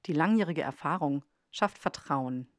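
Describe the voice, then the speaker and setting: A woman's voice: sits low, animated, normal speed, no echo. A woman narrating a documentary podcast from a script.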